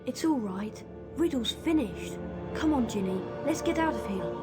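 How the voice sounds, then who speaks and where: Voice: mid-range voice, lively intonation, moderate pace, loud music behind the voice, natural voice. A performer playing a brave, earnest schoolboy wizard in a video game, with an urgent way of talking. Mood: reassuring. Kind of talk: video game cutscene acting.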